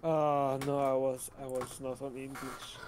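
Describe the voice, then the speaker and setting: A man's voice: mid-range voice, flat delivery, unhurried, a little mellow. A man whose first language is Hebrew, commentating a game tournament in casual, hesitant English with frequent laughs. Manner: chatty, hesitant, laughs often. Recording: faint background noise.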